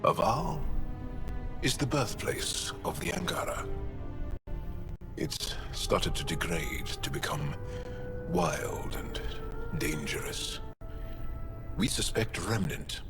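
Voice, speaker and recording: A grave alien resistance fighter for a video game, very deep and slow. The delivery is solemn and guarded, swinging expressively in measured, dramatic phrases over prominent music. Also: male voice, big pitch swings, creaky, exaggerated voice. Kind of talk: video game cutscene acting.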